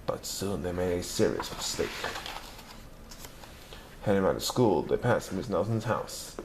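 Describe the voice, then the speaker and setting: A man reading a children's picture book aloud in a lively, expressive storytelling manner. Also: low voice, a little slow, noisy background. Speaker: narrator.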